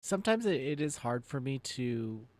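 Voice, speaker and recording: A man's voice: mid-range voice, sing-song, normal speed, darkish tone, no room sound. A man giving casual, laid-back let's play commentary as he streams a video game.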